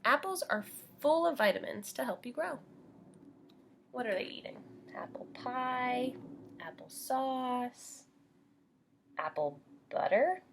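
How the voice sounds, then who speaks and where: A woman's voice: high voice, expressive, takes it slowly, pauses often. An American woman teaching young children as she reads a picture book aloud, explaining simply and putting questions to her listeners.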